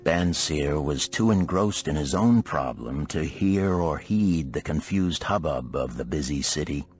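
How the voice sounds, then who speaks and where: A man reading a storybook narration in a measured, formal storytelling manner. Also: rumbling low, expressive, soft background music. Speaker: audiobook narrator.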